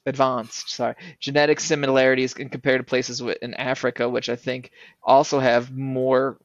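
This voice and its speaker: A young man co-hosting a casual podcast, who talks in a hesitant, halting way and often corrects himself. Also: medium pitch, little pitch movement, no echo. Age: young adult. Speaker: podcast co-host. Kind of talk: casual chat. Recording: dry, dull lo-fi mic.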